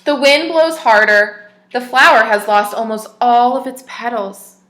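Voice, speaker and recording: A teacher reading a storybook aloud to little kids, her voice bright and a touch high for a woman. She reads with lively expression and pauses to quiz her listeners. The sound rings in an echoey room. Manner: expressive, asks the young listeners questions. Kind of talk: reading a picture book aloud to children.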